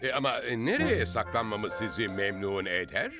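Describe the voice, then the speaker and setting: Low, swooping, fawning cartoon courtier child. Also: female voice, very animated, moderate pace. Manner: obsequious, exaggerated deference. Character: fawning little child playing a princess's flattering courtier. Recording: loud music behind the voice.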